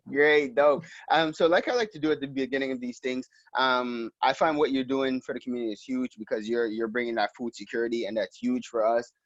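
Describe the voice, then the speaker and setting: A man's voice: medium pitch, brisk, no echo. A man hosting a community interview, casual and upbeat with slangy talk. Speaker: host. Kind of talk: casual interview over video call. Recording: dry, lo-fi call audio.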